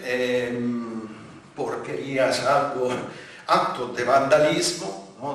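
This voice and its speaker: A man from Italy with a light Italian accent, giving a public lecture with slides, talking haltingly with many fillers and restarts. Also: mid-range voice, a bit bright, slight background noise. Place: large conference hall. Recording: very echoey hall, voice from far off.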